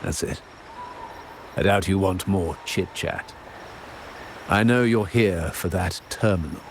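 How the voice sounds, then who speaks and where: Slow, creaky, self-assured villain. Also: male voice, low voice, a little hiss or hum. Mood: cool, controlling. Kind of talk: video game voice acting.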